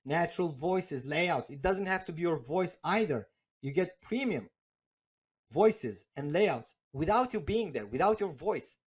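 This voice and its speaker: A man for whom English is a second language talks through a live sales webinar, reading out names from the chat and checking in with "okay?". His voice sits a little high, and he talks chattily with lots of fillers. The stream audio is muffled and lo-fi. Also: expressive, a little slow, slightly rough. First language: not English. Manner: chatty and persuasive, reads names from chat, many fillers and tag questions. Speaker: webinar host selling software.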